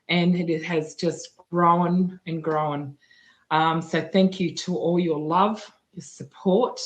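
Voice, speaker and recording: A woman with a husky, tired voice hosting a livestream news talk, chatty and full of fillers and restarts. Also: on the low side. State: husky voice from talking too much. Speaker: guest news presenter.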